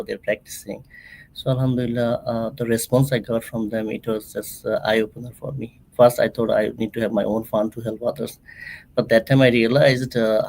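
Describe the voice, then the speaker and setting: Gravelly, flat-voiced man. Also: medium pitch, rough recording. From Bangladesh. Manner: halting, many fillers and restarts. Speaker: nonprofit founder, interview guest. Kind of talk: conversational interview storytelling.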